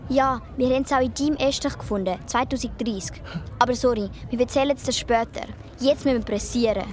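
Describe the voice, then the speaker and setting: A woman playing a brisk, take-charge child twin with a Swiss German accent in a children's radio play, speaking colloquially. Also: fairly high pitch, lightly acted. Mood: hurried. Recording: music behind the voice.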